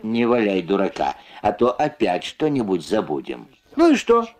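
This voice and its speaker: Lively woman dubbing an old cartoon. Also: very deep voice, normal speed. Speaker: voice-over translator. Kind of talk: scripted single-voice cartoon dubbing. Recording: old film soundtrack with music.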